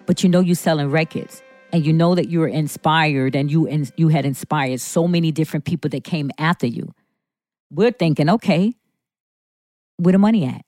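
A woman's voice: sits low, moderate pace, dark tone, dry recording. A middle-aged or older woman, a musician, telling her story in an interview and building points through repetition. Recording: music playing behind the voice.

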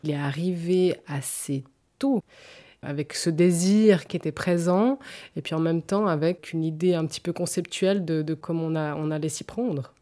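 A woman's voice: sits low, moderate pace, dark tone. A woman, speaking in an interview in a thoughtful and hesitant way. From canton of Vaud, French-speaking Switzerland. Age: 40s. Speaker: interview guest. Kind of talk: personal testimony in an interview.

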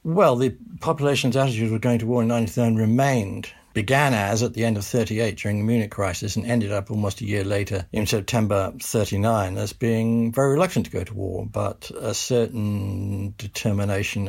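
Older british guy, historian, gravelly husky voice, slow careful answers. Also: lowish voice, darkish tone. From Britain. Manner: measured, thoughtful, with restarts. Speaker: historian and author guest. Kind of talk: interview answers.

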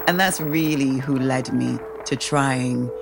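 Woman, 40s, very deep voice, candid storytelling, laughs often. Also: slow, gravelly, music in the background. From England, raised in the Caribbean. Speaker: guest sharing her story. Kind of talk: personal storytelling.

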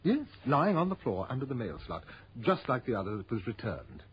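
A man's voice: mid-range voice, very animated, brisk, breathy edge. An actor playing a fussy, formal and crooked male art dealer, easily flustered, in a 1960s radio drama. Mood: eager. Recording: muffled, noisy old radio recording.

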